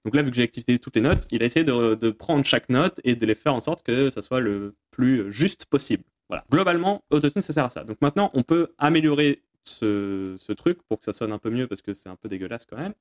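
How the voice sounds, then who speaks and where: A man presenting an informal, off-the-cuff software tutorial, hesitant and self-deprecating as he explains things. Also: medium pitch, lively intonation, fairly quick, hardly any pauses. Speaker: tutorial presenter answering viewer questions.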